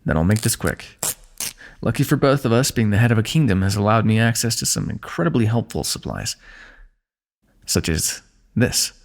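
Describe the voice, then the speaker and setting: A man voicing a kingdom's ruler who gently chides and teases a wounded companion while tending his injury, in an intimate fantasy roleplay. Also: on the low side, animated, normal speed, vocal fry, long pauses. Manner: gentle, chiding, teasing, close and intimate. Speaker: ASMR roleplay performer. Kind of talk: scripted fantasy ASMR roleplay.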